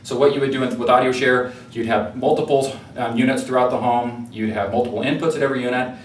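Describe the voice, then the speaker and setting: A man's voice: mid-range voice, fairly even intonation, fast. A man giving an informal product demonstration, explaining conversationally and a little hesitantly as a presenter. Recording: roomy, distant mic with faint noise.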